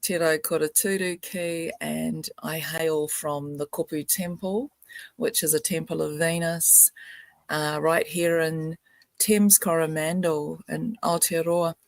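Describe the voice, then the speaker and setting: An older woman from New Zealand giving a soft, meandering spiritual talk on a video call, laughing often. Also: sits low, fairly even intonation, takes it slowly, vocal fry, no echo. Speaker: spiritual grandmother representative.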